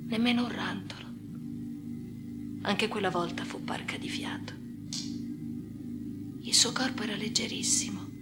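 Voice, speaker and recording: An actress playing a haunted, obsessive woman in an intimate radio drama monologue, breathy and often whispering, with slow, halting, intense phrasing. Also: medium pitch, steady tone, natural voice. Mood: hushed, reverent. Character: haunted, obsessive female amputee confiding in her chosen successor. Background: music.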